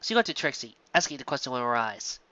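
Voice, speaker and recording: Bright, animated story narrator. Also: mid-range voice, fast talker, no room sound, lo-fi sound.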